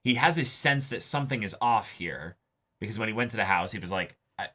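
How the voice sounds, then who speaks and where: A man's voice: on the low side, animated, fairly quick, sharp tone. A man co-hosting a reaction show, talking casually and analytically in an emphatic way, repeating phrases for stress. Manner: emphatic, repeats phrases, acts out imagined dialogue. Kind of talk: casual analytical discussion of an anime episode.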